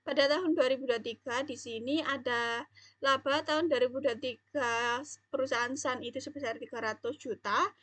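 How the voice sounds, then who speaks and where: Young woman, really high voice, student presentation, kinda hesitant, dry close sound. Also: a bit bright, no echo. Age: young adult. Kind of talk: explanatory class presentation.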